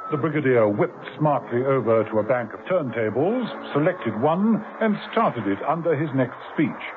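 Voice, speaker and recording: A man narrating a 1970s radio drama in a wry, measured way. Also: medium pitch, expressive, background noise. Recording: tinny lo-fi old radio broadcast.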